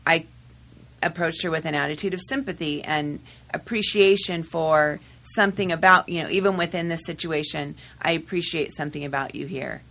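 A woman guest in a studio discussion, telling a story at a measured, thoughtful pace. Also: low voice, creaky, fairly bright tone. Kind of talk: casual podcast discussion. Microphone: studio microphone.

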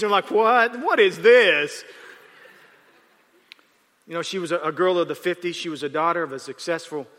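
A man's voice: on the high side, sharp tone. A man preaching in a loose storytelling way, often restarting his sentences. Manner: rambling, self-correcting, laughs at times. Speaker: pastor. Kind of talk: conversational sermon storytelling. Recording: long hall reverb.

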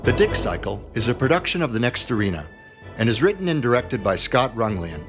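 A man's voice: mid-range voice, very animated, a little fast. A man reading scripted credits as an announcer. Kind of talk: scripted narration of podcast credits. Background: music.

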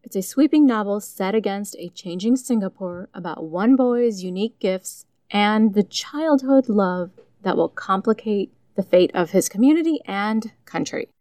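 A woman's voice: mid-range voice, expressive, normal speed, hardly any pauses, a little mellow, no echo, studio quality, far from the mic. A woman hosting a podcast, reading a scripted introduction. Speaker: podcast host.

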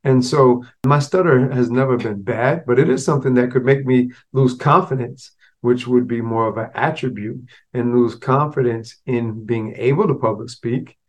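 A man's voice: mid-range voice, moderate pace, hardly any pauses, darkish tone. A man hosting a podcast solo, talking casually with a slight stutter and restarts, mixing street slang with business talk. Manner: conversational, street slang mixed with business terms. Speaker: podcast host.

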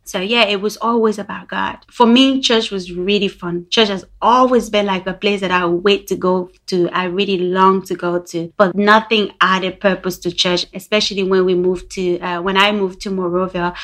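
A woman's voice: medium pitch, fairly even intonation, normal speed, hardly any pauses, fairly bright tone. A young woman from Liberia, candidly telling her personal faith story as a podcast guest and laughing easily. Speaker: guest sharing her testimony.

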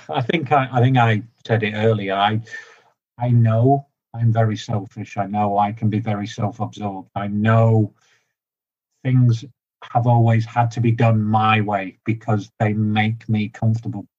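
A man from the UK talking candidly as a podcast guest, loud and direct, often mocking himself. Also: lowish voice, flat delivery, unhurried, lo-fi sound. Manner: loud, direct, self-deprecating. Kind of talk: candid conversational interview.

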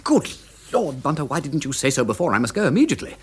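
A foppish upper-class English gentleman sleuth in a classic radio play. He rattles along in a husky voice, exclamatory and flippant, swinging up and down as he frets. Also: on the high side, very animated, quick pace, heavily acted. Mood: agitated. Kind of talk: classic radio drama acting.

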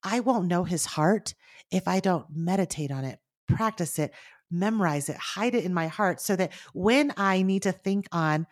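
Earnest animated woman co-host. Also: lowish voice, moderate pace, no room sound, studio quality. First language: Mandarin. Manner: earnest, animated, speeds up in bursts. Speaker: podcast co-host. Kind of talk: casual faith podcast chat.

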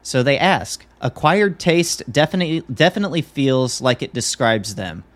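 A man's voice: mid-range voice, expressive, moderate pace, fairly bright tone. A male podcast host with a mid-range voice, chatting casually. He talks in an easygoing, conversational way, as if to a co-host and regular listeners.

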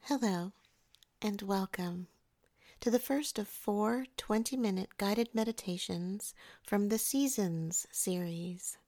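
A woman narrating a scripted guided meditation in a soothing, measured way, softening the ends of her phrases. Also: medium pitch.

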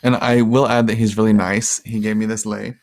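A man hosting a podcast in casual chat, upbeat and quick to laugh. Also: on the low side, flat delivery, darkish tone, dry recording, clean studio sound. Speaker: podcast host. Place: room in Hawaii.

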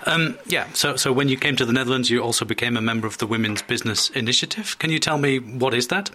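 A man's voice: mid-range voice, quick pace, sharp tone, a little hiss or hum. A man hosting a podcast, introducing his guest and asking hesitant, friendly questions with fillers. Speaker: podcast host.

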